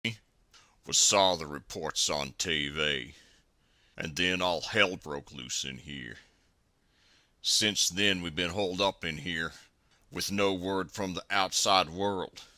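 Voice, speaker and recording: Streetwise male inmate, played by a man: hard, very forceful low voice, slow, suspicious and slangy. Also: dry recording, clean studio sound, full character voice. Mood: grim, weary. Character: wary, streetwise male prison inmate, armed robber. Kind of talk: dramatized comic dialogue.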